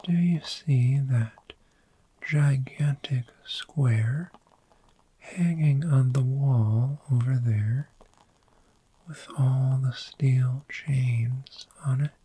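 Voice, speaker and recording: A performer voicing a gentle, friendly dragon in human form for a sleep ASMR roleplay, in a soft breathy whisper with unhurried, soothing pauses. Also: medium pitch, exaggerated voice, poor audio. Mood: soothing, informative.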